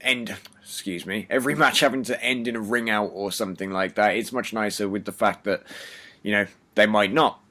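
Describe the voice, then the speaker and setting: A hoarse man hosting a casual review chat, keeping his voice low to spare it. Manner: talking quietly to spare his voice. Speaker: host.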